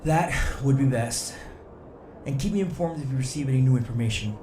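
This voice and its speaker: An actor playing a cautious senior commander in a sci-fi audio drama, measured and authoritative. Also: male voice, medium pitch, expressive, natural voice. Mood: stern. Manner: measured, firm. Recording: echoey room, clear ambient noise.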